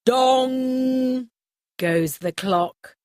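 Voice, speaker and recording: A woman narrating a scripted children's story in simple, deliberate sentences for young listeners. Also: high-pitched, a little mellow, no room sound, clean studio sound.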